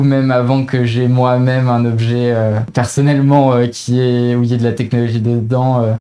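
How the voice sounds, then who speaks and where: Hesitant young woman, dark voice. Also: rumbling low. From France. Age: 20s. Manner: hesitant, many fillers, youthful slang. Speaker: guest. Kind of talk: casual interview chat.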